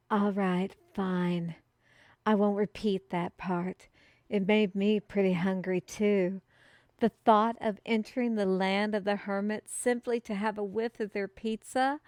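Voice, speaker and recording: A woman playing an excitable, earnest kingdom messenger in a fantasy audio roleplay, with theatrical proclaiming and formal old-fashioned wording. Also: fairly high pitch, smooth, no room sound, studio quality, close to an everyday voice. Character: excitable, earnest female kingdom messenger bearing a food prophecy. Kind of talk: fantasy audio roleplay, speaking to one listener.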